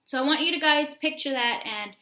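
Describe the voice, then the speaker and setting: A woman reading a picture book aloud in an expressive, instructive way, like a teacher addressing young listeners. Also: high voice, a little fast. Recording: roomy, echoey room sound.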